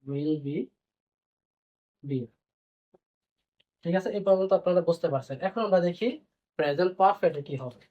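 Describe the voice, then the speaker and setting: A man's voice: on the high side, normal speed, long pauses. A man teaching a grammar lesson, repeating points and answering his own questions as he explains. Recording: dull, lo-fi band-limited sound.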